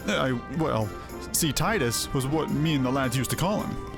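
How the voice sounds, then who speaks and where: A man's voice: mid-range voice, lively intonation, normal speed, gravelly. A man voicing a cowardly, boastful mercenary axeman in a fantasy game, with a stammering, pleading manner. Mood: flustered. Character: cowardly boastful hard-drinking axeman, a bumbling male mercenary. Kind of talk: voiced fantasy game dialogue. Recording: music under the voice.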